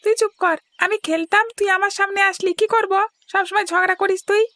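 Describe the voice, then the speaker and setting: A woman's voice: very high voice, fairly even intonation, brisk, sharp tone, no room sound. A woman voicing a bickering, jealous young boy, the older brother in a cartoon story. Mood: scornful.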